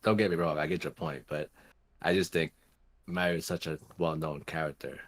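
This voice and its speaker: Very deep-voiced man in casual discussion. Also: fairly even intonation, fairly quick. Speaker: gaming show co-host.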